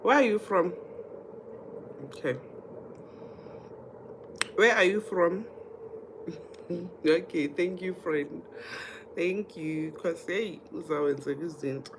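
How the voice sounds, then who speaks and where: A woman's voice: fairly low pitch, slow pace, long pauses. South African woman chatting live, background noise. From Mpumalanga, South Africa. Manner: chatty, addresses viewers directly, repeats herself. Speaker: livestream host. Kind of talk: casual live chat, reading comments and telling anecdotes.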